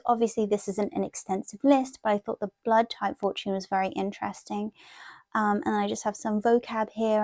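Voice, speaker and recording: Woman, mid-pitched, informal, rambling, casual vlog narration, dry close sound. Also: a little mellow, no room sound. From half Thai, half Italian heritage. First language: Thai. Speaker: planner and journaling vlogger. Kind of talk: casual show-and-tell voice-over.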